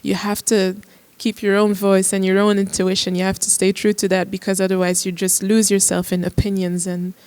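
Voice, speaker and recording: A woman's voice: medium pitch, monotone, brisk, hardly any pauses, darkish tone, clean tone, no echo. A woman musician on a discussion panel, speaking earnestly and restarting her phrases as she thinks. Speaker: musician guest.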